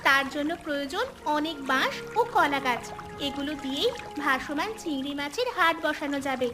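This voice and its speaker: A woman voicing a clever young village woman in a cartoon, with a bright, earnest manner. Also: high-pitched, expressive, moderate pace, music in the background. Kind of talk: cartoon voice acting.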